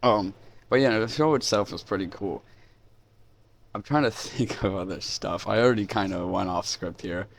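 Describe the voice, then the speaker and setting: Low-voiced man, casual rambling reviewer. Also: moderate pace, gravelly, slight background noise. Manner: informal, enthusiastic, rambling. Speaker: YouTube reviewer, host. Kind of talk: casual unscripted review of indie animation.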